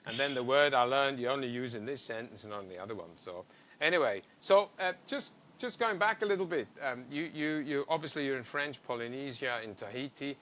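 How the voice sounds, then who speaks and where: A man's voice: medium pitch, animated, a little fast, a bit bright. A man who grew up in Africa and speaks, hosting a podcast in a chatty style and jumping between thoughts. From South Africa. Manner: chatty, jumps between thoughts, laughs easily. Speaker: podcast host. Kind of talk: casual podcast chat.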